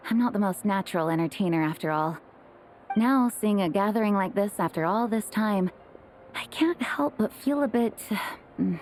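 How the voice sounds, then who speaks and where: A woman voicing an earnest, courteous young leader in a video game, gentle and thoughtful in manner. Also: medium pitch, a little hiss or hum, close to an everyday voice. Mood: wistful. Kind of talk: video game character acting.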